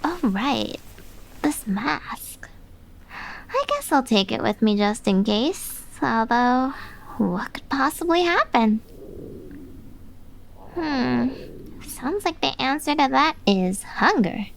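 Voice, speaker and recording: A woman playing a chatty, curious young traveler musing to herself in an audio drama, with a creaky voice. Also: sits high, sing-song, slow, pauses often, a little hiss or hum, full character voice, poor audio. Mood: whispered caution.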